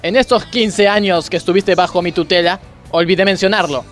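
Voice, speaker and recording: A man's voice: high voice, fairly even intonation, fairly quick, a bit bright, soft background music. A man acting a pompous, moralizing gymnastics coach who makes grandiose speeches in a parody radio play. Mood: preachy. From Argentina. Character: pompous, moralizing male gymnastics coach, scolding yet easily distracted.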